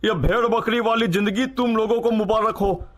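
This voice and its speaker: A man playing a greedy, blustering older uncle in a comic cartoon, loud and hearty in his complaints. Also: high voice, steady tone, normal speed. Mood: pleading, fed up. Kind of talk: exaggerated comic cartoon acting.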